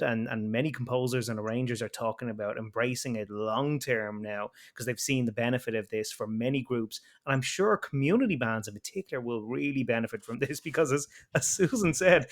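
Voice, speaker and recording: Man, Irish accent, Dublin, podcast co-host, hesitant, lots of ums, middle pitch. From Ireland. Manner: hesitant, many fillers and restarts. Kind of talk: casual conversation.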